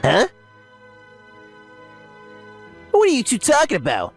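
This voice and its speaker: A woman's voice: fairly high pitch, wildly swooping pitch, fast, breathy edge, faint music behind, exaggerated voice. A woman playing a smug, scheming office villainess in an English manga dub, with a taunting manner. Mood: panicked, defensive. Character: scheming, gloating female office villain, mockingly sweet.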